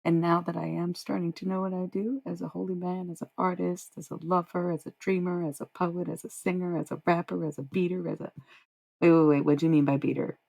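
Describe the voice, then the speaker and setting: Gravelly, low-voiced young woman streamer. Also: level tone, a little fast, a little mellow, low-quality recording. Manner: rambling, dreamy, swears freely. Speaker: livestreamer. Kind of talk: casual livestream chat with viewers.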